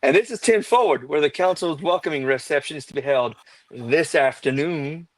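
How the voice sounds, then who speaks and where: A woman's voice: deep voice, normal speed, no echo, poor audio. A woman playing a polite, slightly jealous female starship doctor in a live script table read. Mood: polite, guarded.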